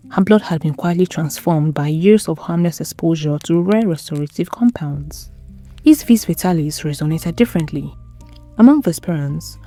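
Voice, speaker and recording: A woman narrating a scripted dramatic story in a measured, solemn, literary manner. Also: sits low, dark tone.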